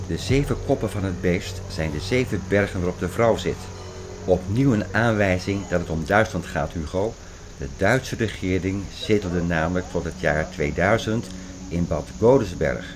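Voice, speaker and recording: An actor playing a fervent man expounding apocalyptic theories in a radio play, insistent, didactic and declamatory. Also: low voice. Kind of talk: radio play dialogue, insistent lecturing and reciting.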